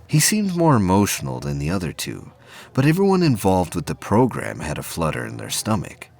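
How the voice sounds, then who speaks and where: A man reading scripted narration for a science fiction audio play, with a husky voice. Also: sits low, huge pitch swings, a little fast, a little mellow.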